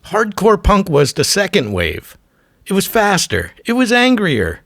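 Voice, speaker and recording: A man delivers scripted documentary narration in a gravelly, measured voice. His pitch moves around in an animated way as he hits short, punchy, profane phrases. The sound is dry. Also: no room sound, faint music behind.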